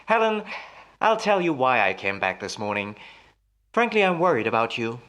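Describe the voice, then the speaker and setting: A man playing a smooth, solicitous husband in an old-time radio melodrama, soothing and patronizing with a quietly menacing edge. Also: medium pitch, sing-song, normal speed. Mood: smoothly insistent.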